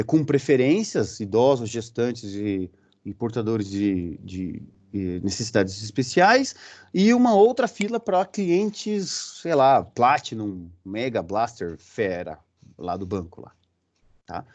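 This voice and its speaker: A man's voice: mid-range voice, a little mellow. A man with a Brazilian accent giving a recorded university lecture. He explains step by step and often checks in with the class using short fillers. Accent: Brazilian Portuguese.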